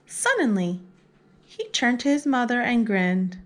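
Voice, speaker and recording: Slow, higher-voiced woman reading a storybook. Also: big pitch swings. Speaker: read-aloud host. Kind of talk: picture book read-aloud for young children.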